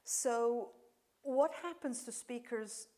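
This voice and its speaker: A woman's voice: fairly high pitch, slow pace, a little mellow. A woman lecturer whose first language is Serbian, answering audience questions after a talk, explaining with frequent fillers and restarts.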